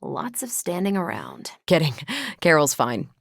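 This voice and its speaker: A woman's voice: deep voice, huge pitch swings, measured pace, creaky, no room sound, studio quality, heavily acted. A woman voicing a confident, wry, friendly superhero in a video game, with a breezy, teasing, dryly self-assured delivery. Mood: casual, teasing. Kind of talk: video game voice acting, conversational.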